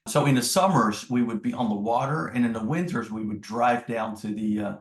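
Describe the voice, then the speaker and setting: A middle-aged man from the Netherlands, a native Dutch speaker, answers interview questions in a reflective, measured conversational way. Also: medium pitch, steady tone. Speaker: podcast guest, business executive. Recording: roomy, echoing sound.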